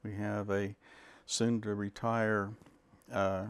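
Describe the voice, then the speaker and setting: A man from a community radio theater troupe speaking in an interview, slow and measured with pauses. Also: on the low side, little pitch movement, clear, resonant tone, no room sound. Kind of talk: casual interview.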